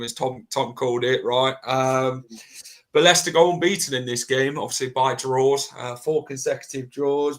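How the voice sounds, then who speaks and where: Male host, blunt and chatty, mid-range voice. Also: medium pitch. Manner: blunt, chatty, mild swearing. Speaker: podcast host. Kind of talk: casual football podcast chat.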